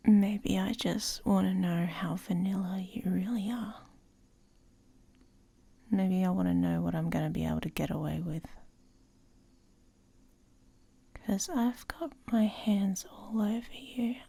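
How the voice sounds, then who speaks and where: A woman playing a dominant, teasing but protective female werewolf in intimate ASMR roleplay, in a breathy, husky voice that often drops to a whisper. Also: medium pitch, dark tone. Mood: teasing, commanding. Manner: slow, teasing, commanding.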